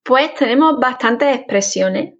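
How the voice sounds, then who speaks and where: A woman with an Andalusian accent, a guest in a casual interview, speaking in a friendly, informative and steady way. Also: high-pitched, a little slow. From Jaén, Andalusia, Spain. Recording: dull lo-fi audio.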